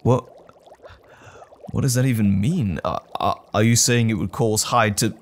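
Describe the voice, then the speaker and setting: An earnest scientist, acted by a man, hesitant and stammering in an audio drama. His pitch swings very widely at a measured, slow pace. A faint noise sits behind the voice. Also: very animated, a little mellow. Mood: uneasy, questioning.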